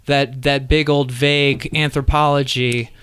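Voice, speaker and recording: A man's voice: mid-range voice, little pitch movement, measured pace, fairly bright tone, clear, resonant tone, no echo. Curious man asking questions. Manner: friendly, curious questioner, restarts phrases. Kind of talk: casual interview chat.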